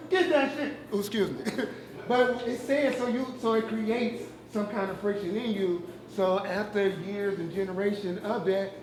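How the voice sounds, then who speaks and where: Loud man in an echoey room. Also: sits high. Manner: loud, speaks without a mic. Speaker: audience member asking a question. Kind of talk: open discussion at a community event.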